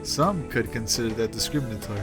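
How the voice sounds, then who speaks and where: A man's voice: medium pitch, expressive, quick pace, lightly acted. A man playing a hesitant, meek junior policeman in an audio drama, with music behind him. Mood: put-upon. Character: hesitant, put-upon male junior policeman. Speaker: police subordinate.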